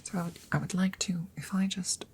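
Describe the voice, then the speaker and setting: A woman voicing a courteous, eccentric female dragon in an ASMR fantasy roleplay, speaking in a soft breathy whisper with halting, formal, coaxing phrasing. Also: lowish voice, fairly even intonation, very noisy, close to an everyday voice. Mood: proud, wondering.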